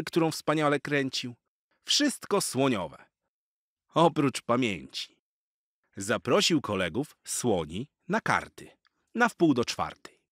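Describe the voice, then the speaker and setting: A man reading children's rhymes aloud in an expressive, theatrical and rhythmic way. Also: medium pitch, dry recording, clean studio sound. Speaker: children's story reader.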